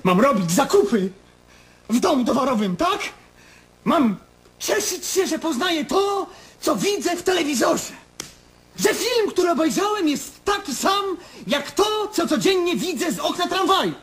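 An actor in a radio play voicing an anguished, self-questioning middle-aged man, brooding and intense, speaking in halting monologues. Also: extremely high pitch, big pitch swings, full character voice. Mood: agitated, bitter.

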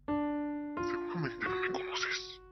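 A man's voice: slow, natural voice. Man as grim cartoon Death, whispery and dramatic, muffled audio over music. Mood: ominous. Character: grim, mysterious male Death narrating its many names. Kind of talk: slow, dramatic comic narration.

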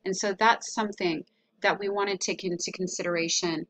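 A woman presenting a website audit as a consultant, talking through a screen share in a casual, explanatory way with hesitant pauses. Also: on the low side, steady tone, a bit bright, rough recording.

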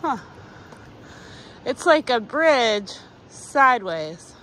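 A woman's voice: a little high, very slow. A woman chatting playfully as she hikes a rocky beach at night, filming on a body-worn camera. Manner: chatty, playful, excited exclamations. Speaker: hiker vlogger. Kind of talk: casual narration while hiking. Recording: noisy outdoor camera audio.

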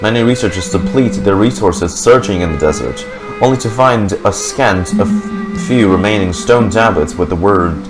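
A man's voice: sits low, rough recording. A young man streaming a game, reading its text aloud and reacting casually into a close microphone. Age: young adult. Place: indoor room. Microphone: desk microphone on boom arm, close. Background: game music.

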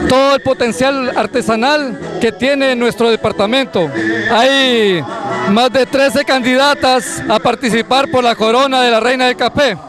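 Man, high voice, formal interview answer, outdoors, loud music behind. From Honduras. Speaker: chamber of commerce president. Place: outdoor street festival.

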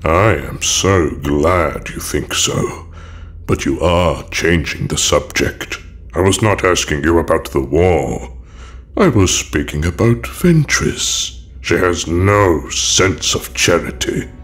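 A man playing an elegant, sinister older dark lord in an audio drama, speaking in a measured, formal, menacing and coldly persuasive way. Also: low voice, lively intonation, exaggerated voice. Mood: probing, condescending. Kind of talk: fan audio drama acting. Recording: music behind the voice.

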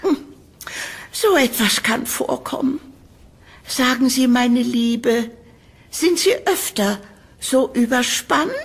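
A woman playing a condescending older landlady in an audio horror drama, sugary and dismissive, with a patronising, drawn-out delivery. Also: on the high side, expressive, creaky, breathy edge, exaggerated voice.

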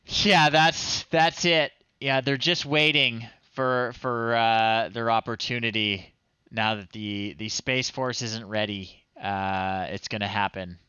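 A man's voice: medium pitch, fairly even intonation, slow, sharp tone, clear, resonant tone, no room sound, highs cut off. A middle-aged man giving casual, wry commentary on a live retro game stream. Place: small room indoors. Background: retro chiptune game music.

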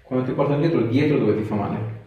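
A man's voice: medium pitch, fast, narrow, tinny audio. A man in his thirties, a chiropractor putting short clinical questions and instructions to a patient. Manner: brief, repeated questions and instructions. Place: small treatment room, indoors. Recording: very echoey room, distant mic, faint noise.

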